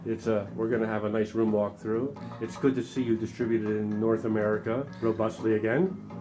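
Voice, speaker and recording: Male show reporter, lowish voice, effusive and appreciative, casual interview with music playing behind. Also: steady tone, fairly quick, low-quality recording. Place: indoor trade show demo room.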